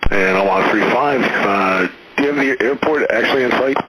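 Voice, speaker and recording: A man working as an air traffic controller, giving halting, procedural instructions over aviation radio. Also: medium pitch, pushed and bright, slightly rough, no room sound, rough recording. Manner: halting, procedural phrasing with frequent hesitations.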